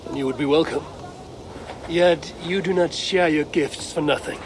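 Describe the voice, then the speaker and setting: A man playing a guarded, shrewd Viking clan leader in a video game, speaking in a measured, wry and formal way. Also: fairly high pitch, a little hiss or hum, lightly acted. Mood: relaxed, wry. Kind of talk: video game dramatic dialogue. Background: background music.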